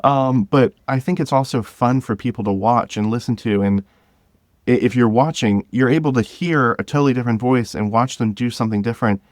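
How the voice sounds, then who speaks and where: A man talking casually and enthusiastically as an interview guest, in an informal, halting way. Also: medium pitch, no room sound, clean studio sound. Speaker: podcast guest, drama creator.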